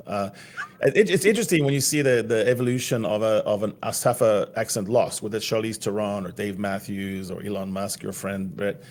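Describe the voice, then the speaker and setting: Man from South Africa, podcast co-host, casual chat, teasing, laughs a lot, fairly low voice. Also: fairly quick, a little mellow.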